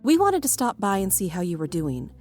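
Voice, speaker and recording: A woman's voice: mid-range voice, huge pitch swings, brisk, darkish tone, soft background music. A woman playing a caring, playfully snippy friend in a naturalistic audio drama. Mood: concerned, bickering.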